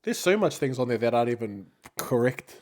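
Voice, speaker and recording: A man's voice: mid-range voice, big pitch swings, fairly quick. A man with a New Zealand accent co-hosting a casual podcast, talking in a slangy, laid-back way and often repeating himself. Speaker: podcast co-host.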